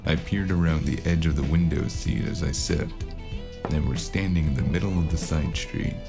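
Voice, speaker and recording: A man plays a world-weary cyberpunk gun for hire in a noir audio drama. His voice is extremely low and full of fry, dry and deadpan, with plucked guitar music behind it. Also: normal speed. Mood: hard-boiled, wry. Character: weary, wry, hard-boiled cyberpunk hired gun narrating his story. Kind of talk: noir first-person narration and audio drama dialogue.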